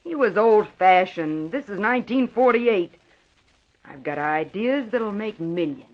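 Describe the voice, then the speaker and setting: A woman's voice: mid-range voice, animated, unhurried, natural voice. An actress plays a bold, ruthless woman gangster in a 1940s radio thriller. She is boastful and taunting, with a hard-boiled delivery. The sound is dull, like an old broadcast. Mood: boastful, scornful. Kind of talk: 1940s radio drama acting.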